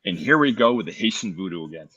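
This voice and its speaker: Casting: male participant in casual group chat, low voice with a husky, forceful edge. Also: lively intonation, fast, lo-fi sound. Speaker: participant in a group listening party.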